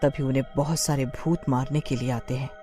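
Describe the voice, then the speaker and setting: Bright-voiced woman narrating a story. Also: extremely low pitch, fairly even intonation, brisk, faint music behind. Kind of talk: scripted Hindi horror storytelling.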